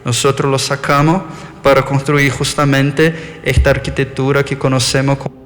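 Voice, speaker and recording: A man's voice: medium pitch, little pitch movement, moderate pace, a bit bright. A man with a Brazilian background and an Argentine-tinged Spanish accent, giving an architecture lecture and often correcting himself mid-word. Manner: thoughtful, with frequent self-corrections. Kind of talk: live lecture presentation. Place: lecture hall. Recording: long reverb in a lecture hall.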